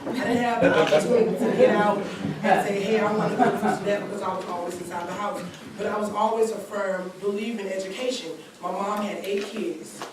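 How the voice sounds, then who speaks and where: A woman's voice: mid-range voice, fairly even intonation, brisk, hardly any pauses, a crowd in the background. A woman in her twenties, a college student, giving an earnest personal testimony in long run-on sentences at a community seminar. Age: 20s. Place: large church hall. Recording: very echoey hall recorded from a distance with background noise.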